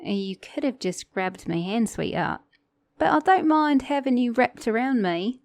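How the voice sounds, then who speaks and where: A woman's voice: fairly high pitch, lively intonation, measured pace, no room sound. A woman with a mixed Australian and British accent playing a flirty, sassy, teasing car mechanic in an ASMR romance roleplay. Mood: flirty, tender. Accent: Australian-British mix. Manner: flirty, teasing, playful, with soft chuckles.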